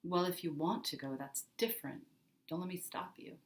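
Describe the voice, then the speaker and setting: A woman's voice: fairly low pitch, normal speed, natural voice. A woman voicing a stubborn, pleading young girl with an argumentative, emphatic way of talking, in a story reading. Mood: hurt, sulky. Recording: roomy, echoing indoor sound.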